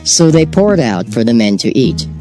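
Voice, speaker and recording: A man narrating scripture in a measured, solemn dramatized reading. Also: mid-range voice, sing-song. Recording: music bed behind voice. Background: music.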